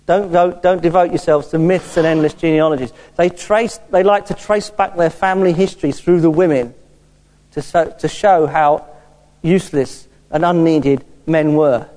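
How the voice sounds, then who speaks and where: A man's voice: fairly high pitch, steady tone, moderate pace, a little mellow. A man preaching an informal Bible teaching sermon in a conversational, explanatory way with wry asides. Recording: reverberant hall sound.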